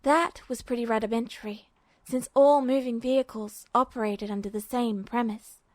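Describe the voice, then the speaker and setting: Higher-pitched woman narrating a story. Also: fairly even intonation, moderate pace, no echo. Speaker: amateur audiobook narrator of fan fiction.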